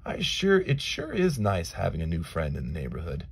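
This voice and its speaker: A man reading the part of an earnest, anxious young boy in a storybook, with an urgent, halting manner. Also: low voice, huge pitch swings, creaky, breathy edge, exaggerated voice. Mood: hinting. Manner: urgent, stammering.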